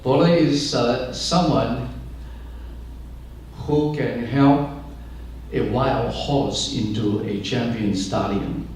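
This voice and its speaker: Slow, halting older man pastor. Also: medium pitch, darkish tone, heavy reverb, distant mic, a little hiss or hum, poor audio. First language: Chinese. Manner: halting, searches for words with many fillers. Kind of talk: speech to an audience. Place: large room with an audience.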